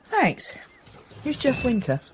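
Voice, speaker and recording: An actress playing a sharp, teasing middle-aged British wife in a radio drama, with deadpan dry humour. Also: female voice, on the high side, takes it slowly, noisy background, full character voice. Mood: brisk. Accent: British English.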